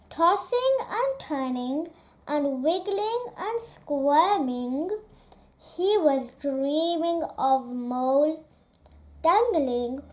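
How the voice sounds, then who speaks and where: Very high, slow, dramatic child-like storybook reader. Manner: dramatic, deliberate phrasing. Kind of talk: expressive children's picture book read-aloud.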